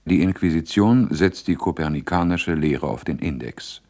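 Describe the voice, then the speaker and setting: An actor playing a grave announcer of historical decrees in a 1960s radio play, formal and solemn. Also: male voice, very deep voice, animated, moderate pace, a little mellow, full character voice.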